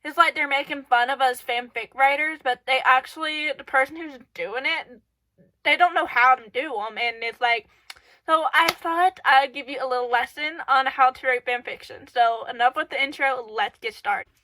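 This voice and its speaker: A woman's voice: high-pitched, moderate pace, pushed and bright, poor audio. A woman hosting her own channel, giving casual advice in a rambling way full of fillers, her voice stuffy and congested from a cold, recorded on a camera in her bedroom. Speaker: channel host. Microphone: camera in her room.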